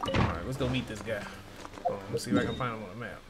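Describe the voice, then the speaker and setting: A man's voice: on the low side, quick pace. A man giving casual gameplay commentary, slangy and profane. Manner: slangy, profane, reacts to the characters. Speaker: video game streamer. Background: game music.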